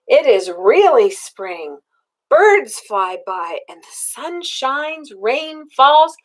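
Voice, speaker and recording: An older woman reads a children's storybook aloud in a high voice that rises and falls widely. She takes her time over the words, like a grandmother with a small listener. Her delivery is expressive and child-directed, with simple questions woven into the reading. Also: low-quality recording.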